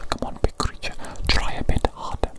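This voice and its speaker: A woman whispering softly into a close microphone, repeating each phrase and gently teasing as an ASMR doctor roleplay. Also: normal speed. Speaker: ASMR creator. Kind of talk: ASMR roleplay of a medical exam.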